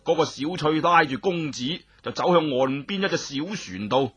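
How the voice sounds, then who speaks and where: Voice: medium pitch, normal speed, a bit bright, slightly rough. A Cantonese storyteller narrating an audiobook dramatically, with colloquial exclamatory asides. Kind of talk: animated scripted audiobook storytelling.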